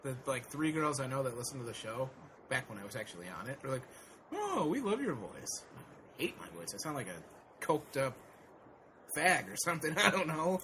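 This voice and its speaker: Bright-voiced man in casual banter. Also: mid-range voice, big pitch swings, fairly quick, slight background noise. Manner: crude, mocking jokes with a loud laugh. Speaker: guest co-host.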